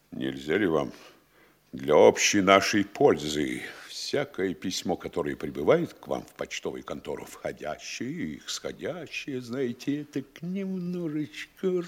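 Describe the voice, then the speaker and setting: An actor playing an anxious, scheming town mayor with a husky voice, in a stage radio play. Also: male voice, low voice, a bit bright, heavily acted. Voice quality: husky, fretful, conspiratorial.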